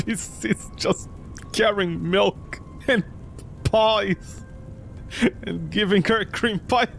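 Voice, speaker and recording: A man's voice: high-pitched, takes it slowly, vocal fry, fairly bright tone, faint music behind. A man giving joking let's play commentary as a gaming streamer, sarcastic and incredulous.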